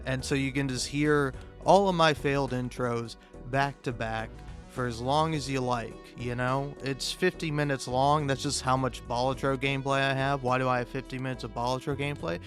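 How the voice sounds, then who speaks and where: A man improvising a self-deprecating comic monologue for a gaming video, rambling and halting, often interrupting himself and swearing casually. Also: mid-range voice, fairly even intonation, soft background music. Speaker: video game YouTuber.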